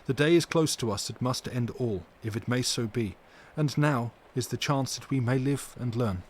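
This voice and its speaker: A man's voice: mid-range voice, moderate pace, natural voice. A man voicing a grave, urgent older male professor with earnest, stilted phrasing in an audiobook reading. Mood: grave urgency. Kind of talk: dramatic audiobook character reading. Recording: steady background noise.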